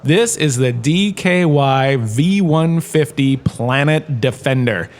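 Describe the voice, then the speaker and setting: A man's voice: mid-range voice, animated, slow. A man playing a washed-up showbiz star turned university lecturer, giving a chatty, jokey scripted lecture in a comedy audio drama. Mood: amused showmanship. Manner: chatty, jokey, showman-like salesman patter.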